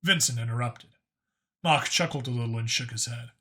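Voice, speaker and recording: Bright-voiced man narrating a story. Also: medium pitch, brisk. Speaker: audiobook narrator.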